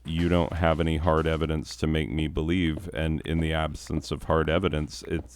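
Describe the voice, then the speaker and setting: A man, a teacher, chatting casually in a deep voice with a downward, newscaster-like inflection that sounds authoritative. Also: darkish tone, clear, resonant tone. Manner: rambling with restarts and chuckles. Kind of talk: casual chat.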